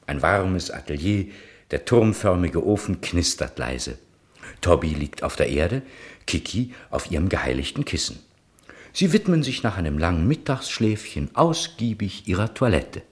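A man's voice: low voice, very animated, moderate pace. A man narrating and announcing a German radio play in a scripted storybook style.

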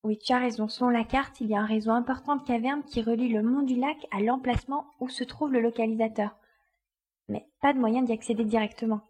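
A woman's voice: on the high side, steady tone, a little fast, darkish tone, husky. A woman playing a determined, commanding glider pilot in a French audio drama. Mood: conciliatory. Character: determined female glider pilot searching for her babies.

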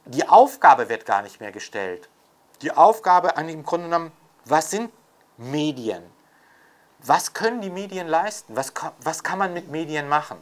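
A man's voice: a little high, big pitch swings, normal speed. A man talking spontaneously and emphatically in an opinionated interview style, often repeating his points to drive them home. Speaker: interviewee, commentator on media theory.

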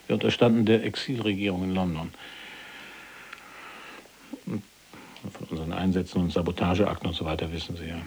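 Low, husky Polish resistance prisoner. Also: male voice, darkish tone. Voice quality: husky, gravelly. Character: imprisoned Polish resistance officer, educated, sober, weary. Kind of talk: radio drama dialogue, measured recounting.

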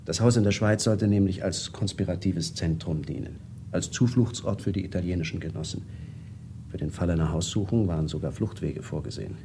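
A man's voice: sits low, fairly quick, a little hiss or hum. Sardonic, worldly bourgeois ex-revolutionary man. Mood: confiding, ironic. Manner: sardonic, animated, expansive storyteller. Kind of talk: 1970s German radio drama acting.

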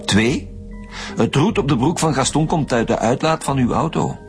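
An actor with a Flemish accent playing a stern, sceptical middle-aged chief detective, blunt and authoritative in a radio drama. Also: male voice, mid-range voice, expressive, normal speed, faint music behind, lightly acted.

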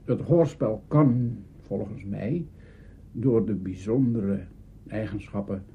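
Slow, gravelly, very hoarse-sounding man. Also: lowish voice. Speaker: interviewee. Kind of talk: interview opinion. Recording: dull archival interview recording.

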